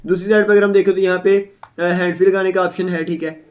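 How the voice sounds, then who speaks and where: A man's voice: sits high, little pitch movement, fast, a little mellow. A man giving a casual, step-by-step product unboxing and demonstration, often checking in with small tag phrases as he explains. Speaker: product reviewer. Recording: echoey room.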